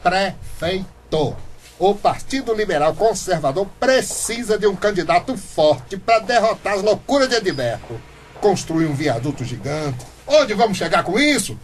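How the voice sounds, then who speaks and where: High-voiced blustering Brazilian man. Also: sing-song, measured pace, slight background noise. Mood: boastful. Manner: blustering, theatrical. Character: stubborn, indignant small-town man, grandiose political dreamer. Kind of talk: comic radio soap acting.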